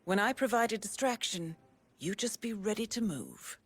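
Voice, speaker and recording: A woman's voice: medium pitch, lively intonation, normal speed, a little hiss or hum, lightly acted. A woman playing a shrewd, composed spy handler in a video game, with dry, clipped wit. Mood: brisk, focused. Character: cool, shrewd, sardonic female spy handler. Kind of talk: dramatic video game acting.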